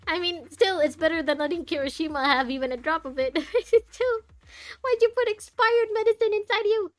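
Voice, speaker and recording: A woman giving live playthrough commentary, chatting casually to viewers and reacting aloud in a jokey, expressive way. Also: extremely high pitch, normal speed, no echo. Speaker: game streamer. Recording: music behind the voice. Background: game music.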